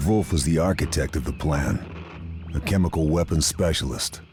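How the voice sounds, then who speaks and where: An actor playing a hard-boiled army sniper, narrating a wartime game cutscene in a terse, grave, deadpan way. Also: male voice, extremely low pitch. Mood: grim, resolute. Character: hard-boiled male WWII army sniper, grim and determined. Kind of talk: scripted first-person game cutscene narration.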